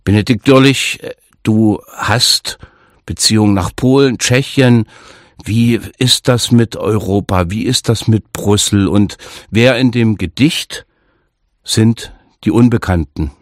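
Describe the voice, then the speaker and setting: A man hosting a radio interview, asking his guest questions in a calm, deliberate and slightly formal way. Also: lowish voice, no echo, clean studio sound. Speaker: radio host. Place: radio studio.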